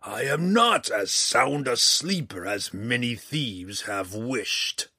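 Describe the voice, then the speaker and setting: A slow, booming red dragon, voiced by a male narrator, ancient, proud and menacing. The voice climbs higher and louder than the narrator's own and lands each word with heavy weight. It is close and dry, with a breathy edge. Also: animated, dry recording, full character voice. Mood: roaring.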